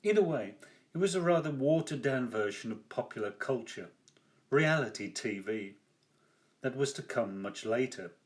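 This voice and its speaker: An older man reading his own first-person memoir aloud as its author, with measured, deliberate phrasing. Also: medium pitch, expressive.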